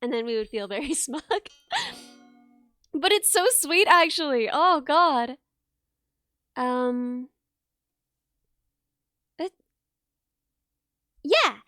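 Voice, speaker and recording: A young woman streamer chatting casually, hoarse and losing her voice, rambling and giggling at herself. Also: high-pitched, lively intonation, drawn-out words, long pauses, bright, forceful tone, dry recording, clean studio sound. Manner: rambling, giggly, self-mocking. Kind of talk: casual chat with live chat. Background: music playing.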